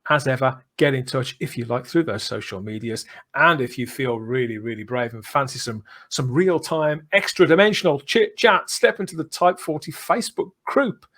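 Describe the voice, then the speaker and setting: A man's voice: mid-range voice, normal speed, few pauses, a bit bright. A man hosting a podcast, chatting enthusiastically with effusive, theatrical turns of phrase. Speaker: podcast host. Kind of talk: enthusiastic podcast chat and sign-off.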